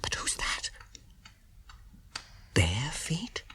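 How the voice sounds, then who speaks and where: Uneasy, half-whispering hobbit. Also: male voice, bright, forceful tone. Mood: dread. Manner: breathy, hesitant, halting. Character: uneasy male hobbit listening fearfully in darkness. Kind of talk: classic radio drama inner monologue.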